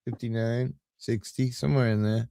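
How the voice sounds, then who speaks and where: A man's voice: medium pitch, steady tone, moderate pace, vocal fry, mellow, dry recording. A man in his late fifties hosting a live stream, chatting casually in a rambling, easygoing way with lots of fillers. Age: 50s. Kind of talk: casual chat.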